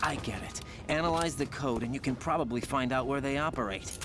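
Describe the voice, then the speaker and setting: Man playing an earnest young soldier, loud music behind. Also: mid-range voice, a little fast, lightly acted. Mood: eager, urgent. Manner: earnest, searching, intense. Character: troubled young male soldier searching for his identity. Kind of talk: dramatic video game cutscene acting.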